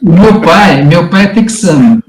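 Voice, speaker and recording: A man's voice: sits high, lively intonation, slow pace, reverberant, crowd noise, poor audio. A man in a casual study-group discussion on a video call, talking hesitantly with many restarts and fillers. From Brazil.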